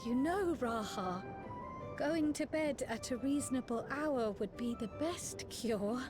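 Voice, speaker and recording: A woman's voice: sits high, lively intonation, unhurried. A woman playing a precise, studious scholar in a fantasy game, articulate and formal in an academic way. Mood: teasing. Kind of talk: scripted game cutscene acting. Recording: clear music behind the voice. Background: music.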